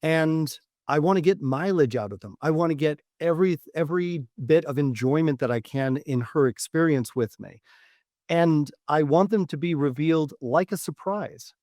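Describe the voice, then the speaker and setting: A man speaking as a dating coach and podcast host, giving instructional advice in deliberate, measured phrasing with repeated words. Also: a little high, darkish tone, no echo, clean studio sound. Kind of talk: instructional podcast monologue to camera.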